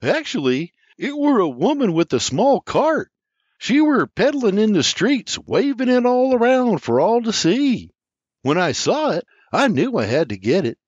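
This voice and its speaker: A man's voice: high voice, big pitch swings, a little slow, no echo, pitched above the performer's own voice, exaggerated voice. A man voicing an earnest, kind young farm lad. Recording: slightly muffled dry recording.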